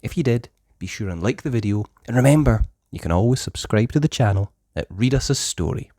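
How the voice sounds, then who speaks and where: A storyteller reading a children's picture book aloud with expressive, measured scripted narration. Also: mid-range voice, no echo, clean studio sound.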